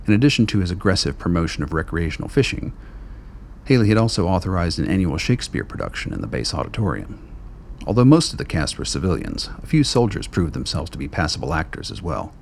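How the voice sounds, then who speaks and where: A man with an extremely deep, gravelly voice narrates a radio drama. His delivery is steady and deliberate, and he lets each scene unfold at its own pace. A faint hiss sits under the recording. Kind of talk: scripted narration.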